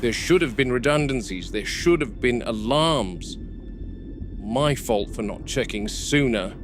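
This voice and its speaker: A man playing a weathered game warden who speaks tersely, quiet and subdued when upset. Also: mid-range voice, lively intonation, unhurried, over music, natural voice. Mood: grim. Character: weathered male park game warden, grim, practical. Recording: noisy, with crickets and insects behind.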